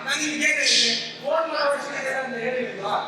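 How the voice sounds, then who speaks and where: A man playing a scheming village middleman in an amateur stage play, loud, declamatory and bargaining. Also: very high voice, fast talker, over a noisy crowd. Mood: scheming, insistent. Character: scheming male village middleman brokering farmland. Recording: echoey hall, distant mic, music behind.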